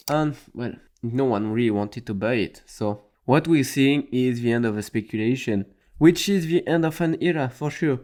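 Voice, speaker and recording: A man's voice: mid-range voice, darkish tone. A man hosting a casual tech news talk, rambling loosely with frequent "I mean" and restarts. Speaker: host.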